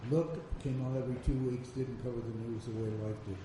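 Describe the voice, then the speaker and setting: An older man, a veteran reporter, recalling past events in a measured storytelling way on a panel. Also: mid-range voice, fairly even intonation. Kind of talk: panel discussion recollection. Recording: distant mic in echoey room with noise.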